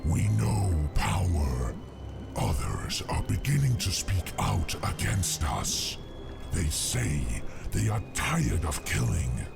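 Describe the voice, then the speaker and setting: Game orc warlock: sinister and grandiose, very deep gravel, drawn-out delivery over loud music. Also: male voice, very animated, exaggerated voice. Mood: menacing, grandiose. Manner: menacing, grandiose, slow and deliberate. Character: sinister, power-hungry orc warlock leading a secret council. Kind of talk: fantasy game cutscene acting.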